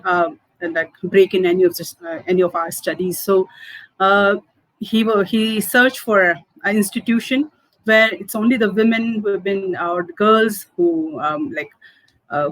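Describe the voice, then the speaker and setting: A woman's voice: mid-range voice, dry recording, low-quality recording. Manipuri woman in her fifties recalling. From Manipur, India. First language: Hindi and Manipuri. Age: 50s. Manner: halting, many fillers, soft laughs. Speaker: food entrepreneur. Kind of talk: interview answers, personal story.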